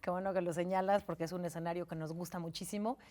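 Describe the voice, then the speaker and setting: A woman from Mexico hosting a cultural TV program, interviewing her guest on a studio set with admiring, slightly halting questions. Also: fairly low pitch, steady tone, mellow. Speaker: TV show host.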